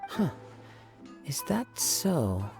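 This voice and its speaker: An actor playing a calm, courteous young wandering samurai in a video game, composed and formal in his speech. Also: a little high, very slow, creaky, faint music behind, heavily acted. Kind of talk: video game character acting.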